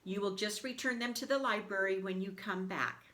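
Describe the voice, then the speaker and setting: A woman's voice: medium pitch, normal speed, a bit bright. A woman school librarian doing a children's storybook read-aloud, talking to pupils in a reassuring, explanatory way.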